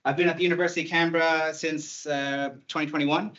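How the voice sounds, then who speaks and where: A man's voice: on the high side, fairly even intonation, fast, fairly bright tone, muffled recording. A man in his thirties with an Irish accent, a university lecturer giving an online talk in a conversational way, with light humor and many fillers. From Ireland.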